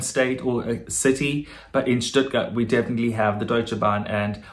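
Chatty South African man vlogging. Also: medium pitch. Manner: chatty, with frequent fillers and laughs; switches between German and English. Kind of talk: casual talk to camera.

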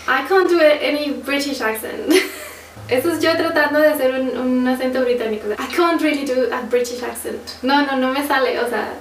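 A young woman with a Mexican accent, casually chatting and laughing often as she answers viewer questions on a sofa at home. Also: high voice. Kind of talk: casual Q&A chat. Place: living room, on a sofa. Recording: roomy, slightly distant, faint hiss.

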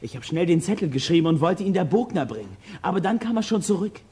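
A performer playing a bold, practical boy detective with a brisk, decisive manner in a children's audio drama. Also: mid-range voice, expressive, warm tone, breathy edge. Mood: earnest.

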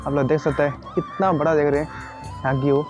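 A young man touring a dam, talking fast and repeating himself as he films himself outdoors with a phone held at arm's length. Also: fairly high pitch, level tone, over music. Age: young adult. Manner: hurried, repetitive, keeps urging viewers along. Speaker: travel vlogger. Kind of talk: casual selfie vlog tour. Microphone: phone camera at arm's length.

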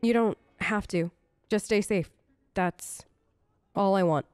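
A woman's voice: mid-range voice, slow pace, a little mellow, clear, resonant tone, dry recording, clean studio sound. A woman doing casual in-character commentary as she role-plays through a video game, chatty and reassuring, thinking aloud. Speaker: gaming host, role-play let's player. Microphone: close microphone, dry voice-over.

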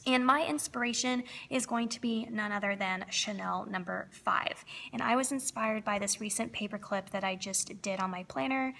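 A woman's voice: medium pitch, moderate pace, gravelly, few pauses, pushed and bright. A young woman with a slightly froggy voice, chatting upbeat as she narrates her planner decorating. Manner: chatty, upbeat, lots of fillers. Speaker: planner crafting vlogger. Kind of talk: casual voice-over plan with me.

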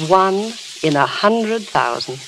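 An actress playing a businesslike, world-weary casting clerk, matter-of-fact and discouraging, in 1930s radio style. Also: female voice, mid-range voice, animated, takes it slowly. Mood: matter-of-fact, sobering. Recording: noisy, crackly old radio recording.

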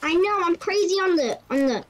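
A performer voicing an excitable, insistent little boy in casual game voice chat. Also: extremely high pitch, brisk, a little hiss or hum. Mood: chatty. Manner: childish, insistent, repetitive denials. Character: high squeaky chattering little boy, pleading, excitable gamer.

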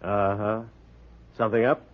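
Low-voiced, blunt police detective played by an actor. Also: male voice, level tone, moderate pace, natural voice. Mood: wary, needling. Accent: American. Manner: blunt, terse, casual. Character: gruff, wary male police detective, needling. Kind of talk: 1940s radio detective acting. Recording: tinny, phone-like 1940s radio recording.

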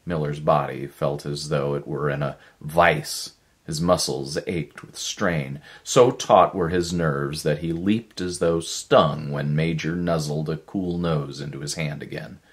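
A man in his fifties narrates a dramatic story. His voice is extremely low, and he takes his time, reading slowly and deliberately. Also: fairly even intonation. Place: small living room with a stove.

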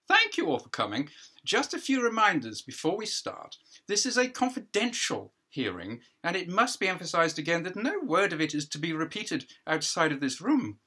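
A man voicing a fussy, patronising judge in a stage play, brisk and condescending with false geniality. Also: sits high, wildly swooping pitch, sharp tone, husky, voice pushed up, exaggerated voice. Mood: officious. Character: fussy, patronising male judge at party hearing.

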